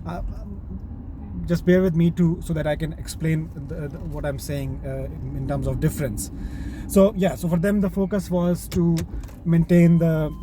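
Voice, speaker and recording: Pakistani-accented man in his 30s, fairly high voice, halting casual talk, car noise clearly heard behind. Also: steady tone. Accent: Pakistani English. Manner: halting, with many restarts and pauses. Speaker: IT project manager vlogger. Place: inside his car while driving.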